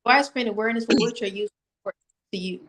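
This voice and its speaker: An American woman hosting an interview over a video call, talking in a friendly, halting way with fillers. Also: fairly high pitch, a bit bright. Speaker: podcast host. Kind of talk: casual interview chat.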